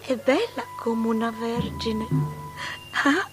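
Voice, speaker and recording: Haughty young princess, high voice, unhurried and languid, coaxing, radio drama, music behind. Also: female voice, lively intonation. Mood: dreamy, languid. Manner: languid, hushed, drawn-out delivery. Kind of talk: stylized theatrical radio drama.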